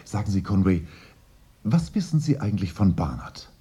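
A man's voice: fairly low pitch, very animated, normal speed, mellow, echoey room. An actor playing a restless, suspicious young English vice-consul in his twenties in a German radio drama, questioning and opinionated. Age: 20s.

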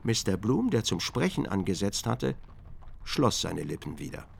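A man with a creaky voice narrating a literary radio drama expressively, acting out the quoted speech. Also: lowish voice, big pitch swings, normal speed, a little hiss or hum.

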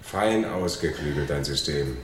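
An actor playing a tentative, good-humored accomplice in a radio crime drama, hesitant and a little evasive. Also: male voice, rumbling low, expressive, a little slow. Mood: musing. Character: hesitant, loyal male crook, awed junior accomplice. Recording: roomy echo, faint background noise.

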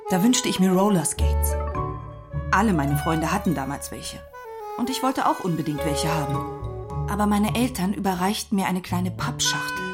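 A woman playing a firm, weary mother in a children's radio play, speaking in a measured way. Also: lowish voice, sing-song, loud music behind the voice. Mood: reminiscing.

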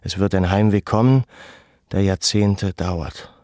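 A man playing a brooding, weary former camp deportee in a reflective, measured radio drama monologue. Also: deep voice, warm tone, no echo, clean studio sound. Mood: reflective, resigned.

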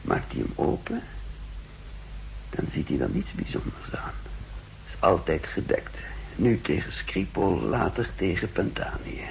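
An actor playing a secretive, calculating male intelligence official in a halting, confidential 1960s radio drama style. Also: low voice, vocal fry, a little hiss or hum, heavily acted, low-quality recording. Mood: brooding, muttering.

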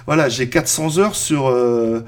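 A man's voice: mid-range voice, measured pace. A man in his thirties chatting casually as a podcast co-host, rambling with lots of fillers and restarts. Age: 30s. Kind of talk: casual roundtable chat.

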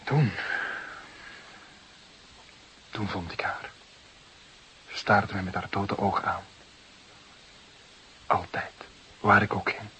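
A man's voice: fairly low pitch, flat delivery, sharp tone, rough recording. Hissy recording, nervy young male suspect. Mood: subdued. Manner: nervy, halting, defensive. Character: desperate, defensive young male murder suspect, about twenty. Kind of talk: Dutch crime drama acting, interrogation. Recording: clearly hissy background noise.

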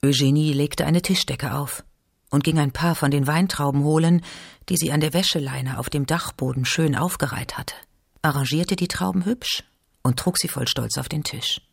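Husky, creaky literary narrator, closely recorded. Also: mid-range voice, no echo, clean studio sound. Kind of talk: literary scripted narration for radio drama.

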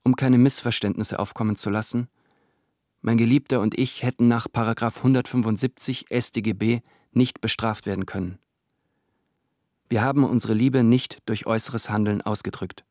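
An actor playing a reserved, grieving career army officer in a radio play monologue, speaking in a measured, formal way. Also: male voice, mid-range voice, steady tone, a little mellow, no echo, clean studio sound, close to an everyday voice. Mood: restrained, formal. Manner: measured, restrained, formal diction.

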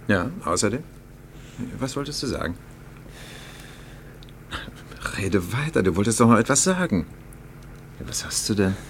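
Man acting a very deep-voiced, sceptical gentleman companion. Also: animated, brisk, a little hiss or hum, lightly acted. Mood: puzzled, prodding. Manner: questioning, incredulous, hesitant. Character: sceptical, puzzled gentleman companion, sensible and questioning. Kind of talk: German radio play acting.

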